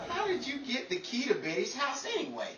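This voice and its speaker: A man's voice: very high voice, very animated, a little fast, creaky, a bit bright, muffled recording, some chatter behind, heavily acted. A man playing a skeptical, wisecracking bachelor friend with sardonic, incredulous quips, in a 1940s radio comedy style. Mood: needling. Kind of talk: 1940s old-time radio comedy acting. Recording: echoey, distant, noisy crowded recording.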